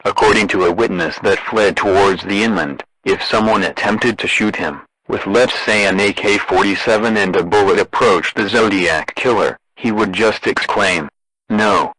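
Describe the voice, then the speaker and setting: Deadpan robotic male alert announcer, low-pitched. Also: normal speed, dry recording, rough recording. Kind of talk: scripted emergency broadcast announcement.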